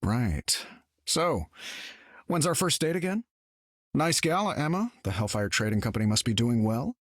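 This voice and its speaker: An actor playing a rich playboy inventor hero in a video game, breezy, smug and teasing. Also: male voice, medium pitch, very animated, moderate pace, dry recording, studio quality. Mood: smug, flirtatious.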